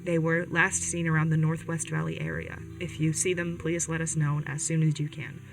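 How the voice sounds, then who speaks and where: A woman's voice: low-pitched, level tone, moderate pace, gravelly, far from the mic, music in the background. A woman playing an earnest, protective young rebel radio host in an audio drama, speaking hesitantly and directly to listeners. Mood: earnest, wistful. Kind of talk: podcast audio drama, conversational radio monologue.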